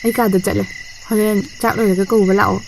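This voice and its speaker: A woman's voice: mid-range voice, level tone, very fast, creaky, a little hiss or hum, lightly acted, poor audio. A woman with a Manipuri accent playing a lovestruck young college student in an audio drama. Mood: dreamy, pleading. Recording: loud music and birdsong behind. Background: music, birds and crickets.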